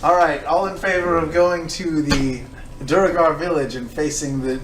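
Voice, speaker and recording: A man with a fairly high voice debates as a player in a tabletop game. He is insistent and argumentative, and the recording is echoey with clear noise. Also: fairly even intonation, normal speed, recorded from a distance. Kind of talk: animated table banter.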